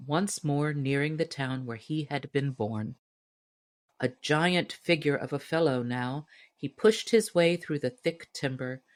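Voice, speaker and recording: Low-voiced, expressive woman storyteller. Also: dry recording. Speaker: audiobook narrator. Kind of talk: expressive scripted storybook narration.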